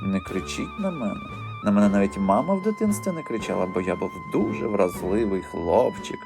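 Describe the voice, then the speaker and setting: A man's voice: on the low side, sing-song, fairly quick, music in the background. A man voicing a sly, mocking teenage street-gang boy in an audiobook, sneering with fake politeness and swaggering slang. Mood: mock innocence.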